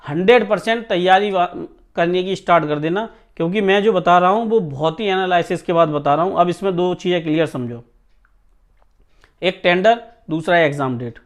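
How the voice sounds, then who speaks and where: A man's voice: sits high, normal speed. A man speaking informally to students as a coaching teacher, emphatic and often checking that they follow. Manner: emphatic, repeatedly checks listeners understand. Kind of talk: informal exam news talk to students.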